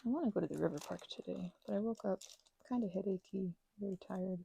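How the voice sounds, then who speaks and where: A woman hosting an ASMR channel who drifts between whispers and soft, self-mocking chatter while she crafts. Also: medium pitch, fast talker, gravelly, a little hiss or hum. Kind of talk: soft-spoken crafting ramble.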